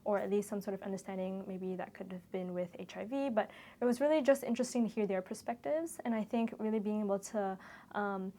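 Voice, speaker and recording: A woman's voice: mid-range voice, brisk, dark tone. Earnest young woman reflecting on her experience. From Kuala Lumpur, Malaysia. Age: 20s. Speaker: medical student-to-be sharing a shadowing experience. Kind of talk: reflective interview testimonial.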